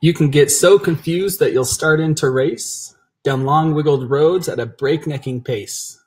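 Guy reading kids verse, highish voice, bit raspy. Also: unhurried, low-quality recording. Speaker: volunteer reader. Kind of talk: picture-book read-aloud.

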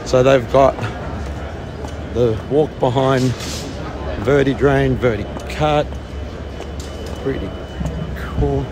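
Chatty Australian man on a noisy show floor. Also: medium pitch. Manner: chatty and offhand, pointing things out as he walks. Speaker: vlogger touring a trade show. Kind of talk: casual walking tour commentary. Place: large indoor convention hall, trade show floor. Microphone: handheld phone camera, filming as he walks. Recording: noisy convention hall on a phone.

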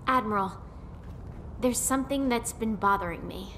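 An actress playing an earnest, curious young hunter in a video game cutscene, eager and inquisitive. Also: female voice, fairly high pitch, moderate pace, slight background noise, lightly acted. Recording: game cutscene with loud music.

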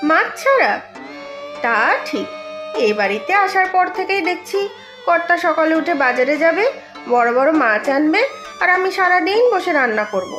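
A woman's voice: very high-pitched, lively intonation, moderate pace, over music. A woman voicing a simple-minded, bewildered housewife and mother in a cartoon, full of exclaimed questions. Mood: puzzled. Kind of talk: animated cartoon dialogue.

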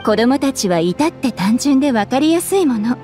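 An actress playing a calm, polite, composed young townswoman in a video game. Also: high-pitched, normal speed, faint music behind.

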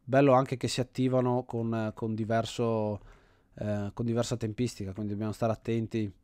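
An Italian man gives casual commentary as he plays. His voice sits at an average male pitch and is recorded dry, with little room sound. He reasons out loud as he goes, often doubling back on his own words. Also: little pitch movement, normal speed, no echo. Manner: thinks aloud, often repeating himself. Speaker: host of an indie game video series.

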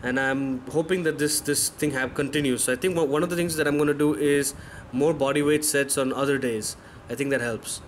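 A man's voice: mid-range voice, fairly even intonation, brisk, a little hiss or hum. A man in his thirties, a fitness vlogger, giving candid, self-correcting voice-over commentary on his own workout footage. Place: voice-over, not recorded in the pictured gym.

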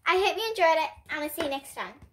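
High-pitched, bright young girl reading a storybook. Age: child. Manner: expressive, deliberate reading with dramatic pauses. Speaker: young host reading children's books aloud. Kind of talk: storybook read-aloud.

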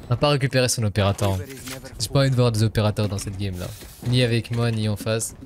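A man's voice: fairly low pitch, fairly even intonation, fast talker. A man live-streaming a game in casual, slangy French sprinkled with English gaming terms, chatting as he plays.

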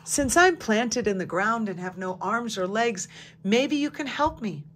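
A gentle dandelion, voiced by a woman reading aloud, speaking in a softly raised, wistful voice that longs to be remembered. She sounds tender and a little dreamy, like a flower in a storybook. A faint hum sits under the recording. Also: fairly high pitch, animated, unhurried.

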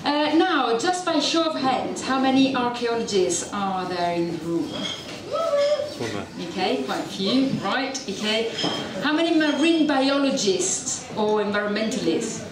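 A woman's voice: on the high side, lively intonation, a little slow, distant mic. A middle-aged woman from Italy with a strong Italian accent, giving a chatty, self-deprecating public lecture on a handheld mic. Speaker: university researcher giving a public talk. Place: dark event venue with audience. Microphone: handheld mic, filmed from a distance. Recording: echoey venue recorded from afar, crowd present.

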